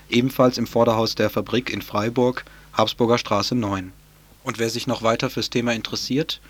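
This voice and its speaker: A man presenting a community radio news show in a loose, conversational way. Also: mid-range voice. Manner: relaxed, loosely worded, conversational. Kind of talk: informal radio news moderation.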